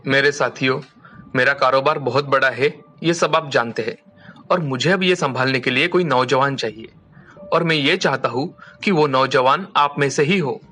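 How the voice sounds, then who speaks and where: A man voicing a wealthy, earnest merchant father in a formal, deliberate way for an animated moral story. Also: mid-range voice, slight background noise, close to an everyday voice. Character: wealthy merchant father, earnest and concerned about his daughter.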